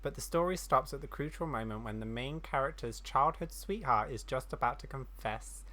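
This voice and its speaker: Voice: medium pitch, moderate pace, bright, forceful tone. A gaming streamer giving casual playthrough commentary and reading dialogue aloud, self-deprecating and stumbling over words.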